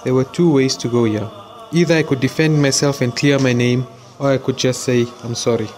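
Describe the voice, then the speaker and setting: A man's voice: medium pitch, fairly even intonation, fairly quick, a little mellow, lightly acted. A young man playing a remorseful madrasa student who speaks sheepishly and apologetically. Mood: reflective. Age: young adult. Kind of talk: audio drama acting.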